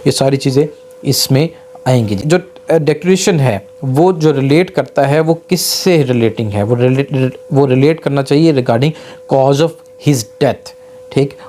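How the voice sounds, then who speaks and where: A Pakistani man speaks as an advocate giving a legal lesson. His voice is dark and relaxed at an average pitch, and he switches into slow, halting English when reading aloud. A faint hum and noise sit behind him. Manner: reads English quotations slowly and haltingly, then explains. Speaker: advocate giving a law lecture. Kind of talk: explanatory legal lesson mixing in English legal passages read aloud. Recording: noticeable background noise and hum.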